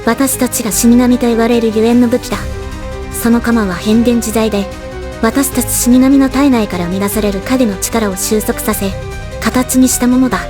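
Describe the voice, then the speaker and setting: A woman voicing a stoic, battle-hardened female death god in an anime drama, with measured, composed dramatic delivery. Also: fairly high pitch, natural voice. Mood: solemn. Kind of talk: anime voice drama, scripted narration and dialogue.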